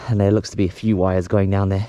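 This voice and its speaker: A man's voice: deep voice, little pitch movement, fast talker, dark tone. A man casually narrating hands-on home renovation, thinking aloud as he works. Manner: unscripted, thinking aloud while working. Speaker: host of a home renovation vlog. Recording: noisy home recording with clicks.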